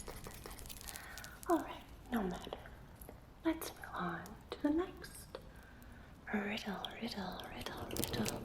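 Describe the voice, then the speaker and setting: A woman's voice: a little high, roomy sound, close to an everyday voice. A woman voicing a playful, teasing ghost who poses riddles in an ASMR roleplay, repeating words over and over in a coaxing way. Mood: playful, insistent. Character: playful, teasing female ghost guarding a secret passage with riddles.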